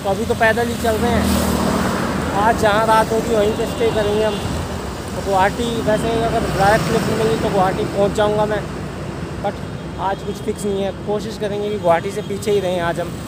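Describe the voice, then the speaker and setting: A man in his twenties with a high voice talks casually to his camera as a travel vlogger. He comes from Haryana in India. The recording is held at arm's length, with plenty of outdoor and vehicle noise around him. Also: little pitch movement, a little fast. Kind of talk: casual vlog narration to camera. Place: outdoors on bridge and highway, later in a car. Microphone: phone or camera at arm's length.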